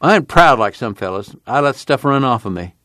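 A man's voice: mid-range voice, lively intonation, brisk, sharp tone, no room sound. A man voicing a shy, easygoing farm son back from prison, plainspoken, in an audiobook. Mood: shy, reassuring. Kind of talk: audiobook character acting.

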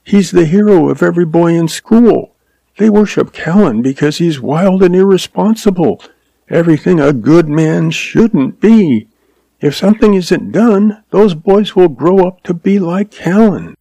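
A man's voice: high voice, lively intonation, measured pace, gravelly, warm tone, dry recording, pitched above the performer's own voice, heavily acted. A man voicing an earnest, anxious young frontier woman in a western story, with a quivering, pleading delivery.

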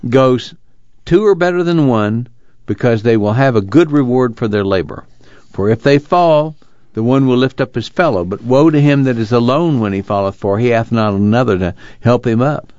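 A man's voice: medium pitch, animated, moderate pace, darkish tone, no room sound, lo-fi sound, natural voice. A man playing an earnest young fugitive farm son in a dramatized novel reading, reflective and plainspoken, with a drawl.

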